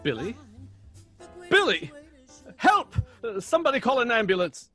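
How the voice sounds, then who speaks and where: A man playing a genial, easily excited jazz bandleader in an audio drama, courteous and a little hesitant. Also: extremely high pitch, unhurried, faint music behind, heavily acted. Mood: alarmed.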